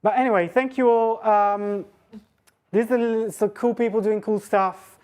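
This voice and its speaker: A woman's voice: mid-range voice, fairly even intonation, measured pace, a little mellow. A woman giving an academic talk, rambling and self-deprecating as she thinks aloud. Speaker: lecturer and speaker. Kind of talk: academic talk with audience questions.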